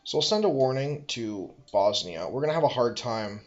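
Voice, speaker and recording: A man's voice: mid-range voice, animated, moderate pace, lo-fi sound. A man thinking aloud as he plays a strategy game, musing, correcting himself and making dry asides. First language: English. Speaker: let's play host.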